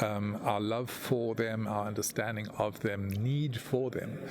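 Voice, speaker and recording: A man giving reflective interview answers in thoughtful, measured phrases. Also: on the low side.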